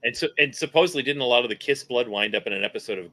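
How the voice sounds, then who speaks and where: A man's voice: medium pitch, rapid-fire, bright, forceful tone. A middle-aged man talking casually as a guest filmmaker on a panel.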